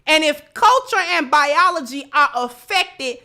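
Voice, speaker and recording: A woman's voice: high voice, expressive, measured pace, sharp tone. A woman giving opinionated live commentary as a host, emphatic, repeating phrases and posing rhetorical questions. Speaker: livestream host.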